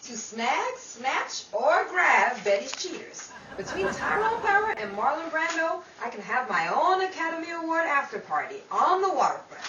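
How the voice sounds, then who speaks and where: Woman acting excitable scheming female partygoer, lively swooping delivery, radio comedy, muffled noisy recording. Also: high-pitched, moderate pace, hardly any pauses. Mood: excited, scheming. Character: excitable, scheming woman partygoer, starstruck over film stars. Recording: roomy, distant, muffled mic with noisy crowd.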